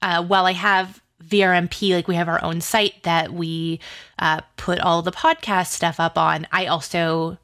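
A woman's voice: on the low side, flat delivery, moderate pace, fairly bright tone, dry recording, studio quality. A woman chatting casually as an interview guest, enthusiastic and talkative, peppering her sentences with "like". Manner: chatty and enthusiastic, says "like" very often. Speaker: interview guest, podcast and pop culture site creator.

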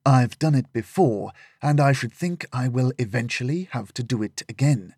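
A man reading a novel aloud, voicing a wise older mentor who speaks slowly and deliberately. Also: mid-range voice, darkish tone, slightly rough, dry recording, clean studio sound, close to an everyday voice. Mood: counseling. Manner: slow, solemn and deliberate.

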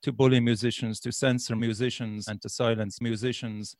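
A man's voice: mid-range voice, flat delivery, brisk, darkish tone, no room sound. A man in his forties with an Irish accent, hosting a casual interview in a chatty, easygoing way. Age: 40s.

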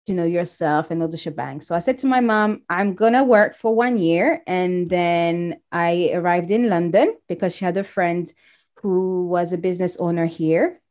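Woman from Congo telling her story. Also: on the low side. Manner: warm storytelling with frequent restarts. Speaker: guest. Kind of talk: casual interview chat.

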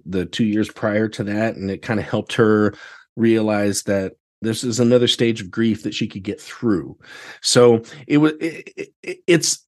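An American man talking casually as a podcast guest, often circling back over words as he searches for the next one. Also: lowish voice. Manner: halting, searching delivery with repeated words. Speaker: podcast guest, theatre director. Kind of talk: casual interview conversation.

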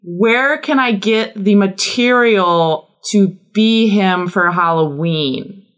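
A woman's voice: mid-range voice, takes it slowly. A woman guest chatting casually on a podcast, emphatic and playful, stretching words for comic effect. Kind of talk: casual chat.

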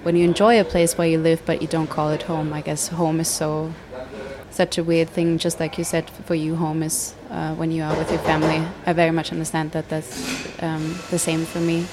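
A woman interviewing a guest, asking questions and reflecting on his answers in a thoughtful, measured way. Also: low voice, level tone, background noise.

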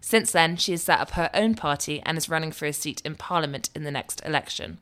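A woman's voice: deep voice, expressive, brisk, a bit bright. A woman hosting a podcast, introducing her guest and asking friendly questions. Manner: friendly, asks questions, laughs along. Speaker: podcast host. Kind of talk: podcast interview.

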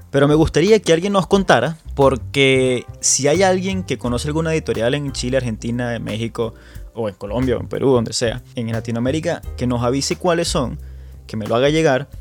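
Venezuelan man, podcast host, chatty casual talk, middle pitch, faint music behind. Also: darkish tone. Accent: Venezuelan Spanish. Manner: chatty, frequent restarts and repeated words.

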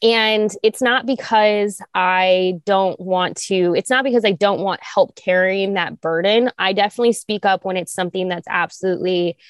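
A woman co-hosting a podcast speaks earnestly and with emphasis, with a touch of vocal fry in her voice. Her pitch sits in the middle for a woman. The sound is close and dry, with no room around it. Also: no room sound. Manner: earnest, emphatic, speeds up and slows down. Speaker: podcast co-host. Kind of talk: candid podcast conversation.

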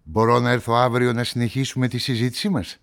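A man acting as an inquisitive journalist who narrates a radio drama in a measured, probing manner. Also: mid-range voice, flat delivery, creaky, lightly acted. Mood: probing, polite. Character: inquisitive male journalist investigating a murder, narrating his story. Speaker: narrator and lead actor.

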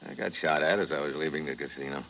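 An actor playing a tough, terse, wry American special agent in a 1950s radio drama, over an old hissy recording. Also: male voice, rumbling low, fairly even intonation, fast talker, a bit bright. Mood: insistent. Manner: terse, hard-boiled, wry. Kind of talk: 1950s radio drama acting with first-person narration.

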